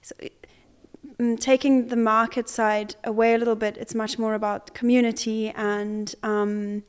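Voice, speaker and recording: A young woman from Zimbabwe, an artist, answering interview questions in a relaxed way with many pauses, fillers and restarts. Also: a little high, smooth. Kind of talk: conversational interview.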